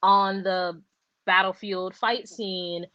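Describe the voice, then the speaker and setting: Casual book-chat woman, rambly and lively, dry dull video-call sound. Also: medium pitch, slow pace, dry recording. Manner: rambling, animated, many fillers and restarts. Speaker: book discussion co-host.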